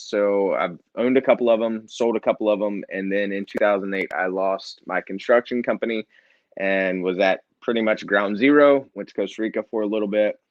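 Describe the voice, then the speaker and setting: Middle-aged male guest, low and earnest, dead-dry lo-fi mic. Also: no echo. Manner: relaxed, earnest, light self-deprecating humor. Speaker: nonprofit founder and author. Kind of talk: casual interview storytelling.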